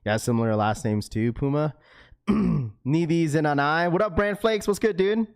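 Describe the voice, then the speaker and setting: A man's voice: fairly high pitch, moderate pace, clean tone, dry recording, studio quality. A laid-back man hosting a livestream and chatting casually with his viewers, his talk full of "bro", "dude" and "like"; he is a parent with a school-age daughter. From Washington state, US. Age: young adult to middle-aged, a father of a schoolchild. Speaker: livestream host reading chat.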